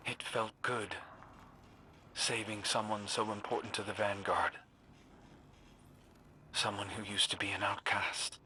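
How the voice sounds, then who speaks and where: Low, bright-voiced brooding young guardian. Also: male voice, slight background noise, exaggerated voice. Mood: earnest, vulnerable. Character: gentle, brooding amnesiac reborn male guardian hunter. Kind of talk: dramatic video game cutscene acting.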